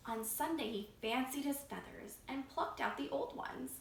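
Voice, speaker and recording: A woman reading a picture book aloud to children as a library storytime reader, expressive and engaging them directly. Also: high-pitched, normal speed, gravelly. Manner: expressive, asking young listeners questions. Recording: roomy echo, faint background noise.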